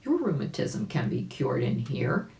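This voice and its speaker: A sly, boastful storybook bear, played by a woman reader, coaxing its prey in a slow, low-pitched voice. The delivery swings up and down, and the room rings a little around it. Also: very animated, gravelly, dark tone, roomy sound, exaggerated voice. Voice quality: harsh when revealed.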